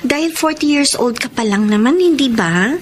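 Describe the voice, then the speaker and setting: A woman's voice: high voice, moderate pace, slight background noise. An actress playing an earnest, politely stubborn young Filipino woman in a radio drama. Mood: gently resisting. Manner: expressive, pleading, respectful to elders. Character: earnest twenty-year-old unmarried woman, reluctant to wed, politely stubborn. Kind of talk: Tagalog radio drama acting and narration.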